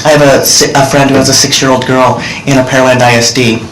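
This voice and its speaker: A man's voice: mid-range voice, level tone, quick pace, fairly bright tone, slight background noise. A middle-aged man giving earnest, direct testimony to senators from a desk microphone in a large hearing room. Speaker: witness testifying. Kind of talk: public testimony. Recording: roomy hearing room audio.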